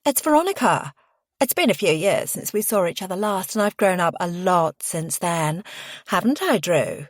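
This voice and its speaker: A woman's voice: fairly low pitch, animated, moderate pace, no room sound, clean studio sound. A woman voicing a brash young female schemer in an audiobook, gushing, taunting and smug.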